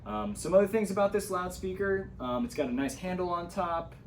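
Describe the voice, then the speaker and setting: A man's voice: sits high, animated, brisk, a little hiss or hum. A man giving a casual, hands-on gear tutorial, explaining things step by step as an instructor.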